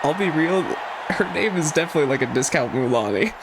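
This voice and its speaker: A woman's voice: deep voice, animated, fairly quick, a crowd in the background, background noise. A woman streaming a game, giving casual live reactions in a wry, amused way. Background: game music.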